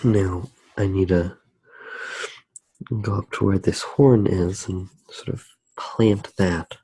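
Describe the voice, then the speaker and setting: A man softly narrating his drawing for ASMR, hushed, unhurried and musing as he works. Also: low voice, steady tone, husky, no room sound, poor audio.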